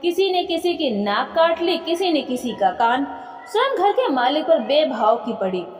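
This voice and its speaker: High-pitched, animated woman storyteller in echoey room. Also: a little slow, soft background music. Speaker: story narrator. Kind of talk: expressive reading of a moral folk tale. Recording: echoey room with long reverb.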